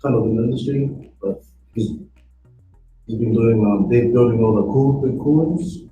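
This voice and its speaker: A young man with a New Zealand accent, a first-year trade student, answering a little hesitantly. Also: lowish voice. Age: young adult. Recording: echoey room, distant mic, dull sound.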